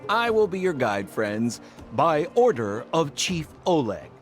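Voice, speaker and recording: A man playing a glib, theatrical, smooth-talking young rogue who works as a guide in a video game. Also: fairly high pitch, big pitch swings, unhurried, faint music behind. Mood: glib, mock-hurt. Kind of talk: video game dialogue acting.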